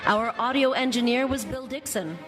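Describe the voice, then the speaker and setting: A woman's voice: on the high side, moderate pace. A woman announcer reading a scripted radio theater announcement in a formal, dramatic way. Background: loud music.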